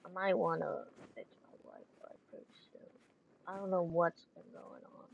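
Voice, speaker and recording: A woman's voice: lowish voice, huge pitch swings, moderate pace, creaky, narrow, tinny audio, slight background noise. A woman doing casual gameplay commentary as a streamer, muttering to herself and bluntly swearing, with game music behind her. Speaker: gaming streamer.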